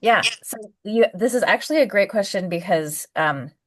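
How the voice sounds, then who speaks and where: A woman's voice: mid-range voice, lively intonation, normal speed, dry recording. A woman solar physicist answering a question in a webinar over a video call, thoughtful, with many ums and restarts.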